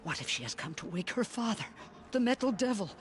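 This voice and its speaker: A woman's voice: medium pitch, very animated, brisk, close to an everyday voice. Woman playing an older matriarch, stern, accusing, mid voice, faint music. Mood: alarmed. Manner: solemn, accusing pleas.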